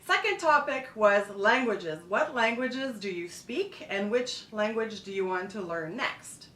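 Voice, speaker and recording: A woman's voice: medium pitch, lively intonation, unhurried, fairly bright tone, distant mic. A middle-aged woman from Montreal, talking casually to camera as a food and travel blogger, loose and chatty with restarts. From Montreal, Quebec, Canada. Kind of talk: casual vlog monologue. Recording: echoey room, mic set back.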